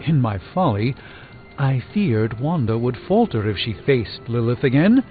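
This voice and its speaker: An actor playing a learned, composed sorcerer in a video game, speaking in a formal, eloquent, dryly witty way. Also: male voice, mid-range voice, very animated, unhurried, narrow, tinny audio, soft background music. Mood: dryly rueful. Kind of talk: scripted video game dialogue.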